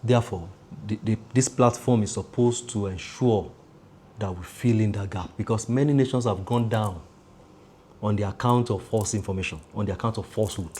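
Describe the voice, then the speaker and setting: A Nigerian man giving measured political commentary, driving his points home with repeated phrases and lists. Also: fairly low pitch, dark tone, slight background noise.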